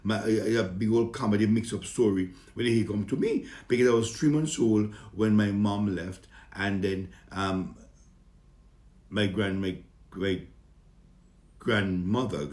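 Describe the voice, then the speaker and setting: A man's voice: low voice, darkish tone, reverberant. A man with a Vincentian accent, a comedian introducing himself to his fans in a jovial, rambling way. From Saint Vincent and the Grenadines and the British Virgin Islands. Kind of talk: casual self-introduction to fans.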